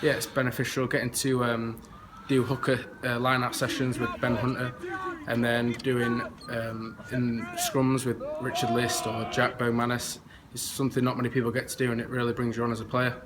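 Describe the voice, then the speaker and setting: A young man, an academy rugby player, giving plain, measured answers in an interview. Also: mid-range voice, level tone. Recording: noisy outdoor recording with siren.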